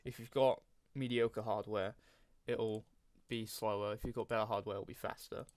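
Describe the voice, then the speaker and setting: Man giving an informal, dry-sounding software tutorial. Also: fairly low pitch, steady tone, moderate pace, no echo. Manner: informal, hesitant, thinking aloud; frequent throat clearing. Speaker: tutorial host. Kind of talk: casual software tutorial narration.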